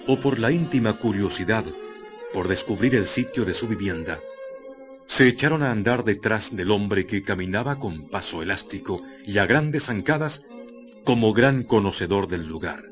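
Music plays clearly behind a man narrating a radio drama. His voice is on the low side. He delivers literary, scripted prose in a measured storytelling manner.

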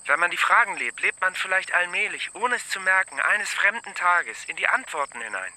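A woman playing a calm, mysterious, reassuring confidant in a German radio drama. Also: fairly low pitch, fairly quick, rough recording.

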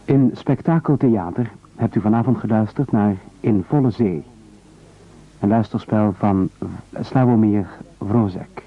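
A man's voice: fairly low pitch, unhurried, pauses often. A man reading formal scripted credits as a radio announcer. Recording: very narrow, phone-like old radio sound.

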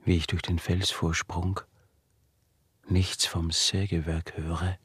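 Husky, slow, obsessive male recluse. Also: low-pitched, level tone, fairly bright tone, dry recording, heavily acted. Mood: hushed, intent. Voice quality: husky, gravelly, breathy, often near whisper. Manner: halting, brooding, insistent repetition. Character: obsessive male recluse tormented by his acute hearing. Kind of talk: intimate radio drama monologue.

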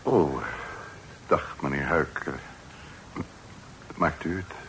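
An actor playing a nervous, fearful gentleman in a radio play, hesitant and flustered, urgently pleading for caution. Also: extremely low pitch, extremely slow, heavily acted. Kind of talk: old Dutch radio play acting. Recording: noisy old radio play recording.

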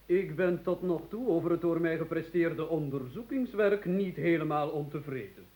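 A man acting a dry, deadpan researcher in an old radio play. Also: fairly high pitch, normal speed, natural voice. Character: dry-witted researcher man named Kwartel.

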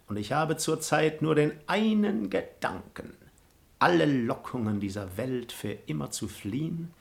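A man's voice: mid-range voice, vocal fry. An actor playing an arrogant, hypocritical libertine nobleman in a classical radio play, declamatory and falsely pious. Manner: declamatory, feigned piety.

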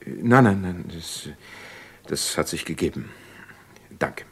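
Deep, slow, nervous secretary. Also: male voice, animated, faint music behind, heavily acted. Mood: nervous, evasive. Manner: hesitant, stammering, subdued. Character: nervous, evasive, timid male secretary. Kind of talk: German crime radio play acting. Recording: faint background noise.

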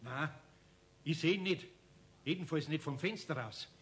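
A man's voice: a little high, normal speed, a bit bright, breathy edge. A man playing a kindly, flustered older master craftsman with a Bavarian accent in a 1970s radio play, speaking in a folksy, hesitant way. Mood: flustered, uneasy. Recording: noisy old 1970s radio recording.

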